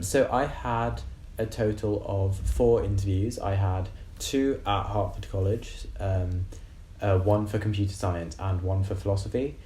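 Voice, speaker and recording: A university student, a man of about twenty from West London, describing his interviews in a measured way with frequent ums. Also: fairly low pitch, warm tone, reverberant, a little hiss or hum, rough recording. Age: around 20. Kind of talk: casual interview answers.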